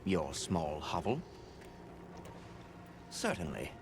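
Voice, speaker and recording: Enigmatic solitary male sage, deep voice, formal riddling, video game, noisy ambience. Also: very animated, takes it slowly, full character voice. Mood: composed. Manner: cryptic, formal. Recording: loud ambient noise behind.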